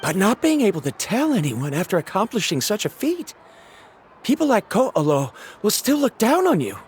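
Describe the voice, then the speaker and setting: A man's voice: high voice, wildly swooping pitch, normal speed, a little hiss or hum. An actor playing a disheartened young male scholar, analytical and doubtful, in a video game. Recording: game audio with background music.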